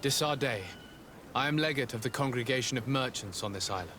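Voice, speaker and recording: A male actor voices a young noble diplomat in a fantasy game, a man of medium pitch. He is formal, resolute and used to questioning people with authority. A clear outdoor rumble sits behind the voice. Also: fairly quick, bright, forceful tone, natural voice. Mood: earnest, resolute. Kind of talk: video game cutscene acting.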